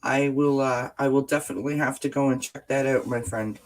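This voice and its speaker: A woman's voice: rumbling low, fairly even intonation, fairly quick, a little mellow. Stuffed-up woman hosting a livestream. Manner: greets chat viewers by name with terms of endearment; frequent filler words and restarts. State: stuffed-up nose. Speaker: livestream host. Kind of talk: casual livestream chat. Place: indoors at home, lights off, sunny window behind.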